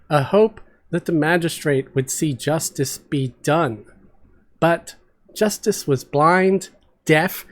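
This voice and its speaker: Slow-paced man reading a fantasy story. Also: on the high side. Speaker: amateur storyteller.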